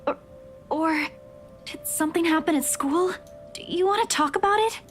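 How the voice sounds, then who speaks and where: A woman's voice: extremely high pitch, moderate pace, faint music behind, pitched above the performer's own voice. A woman voicing an anxious, caring young woman in a visual novel, with a hesitant, apologetic manner. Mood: concerned. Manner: hesitant, stammering. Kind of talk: dramatic visual novel character reading.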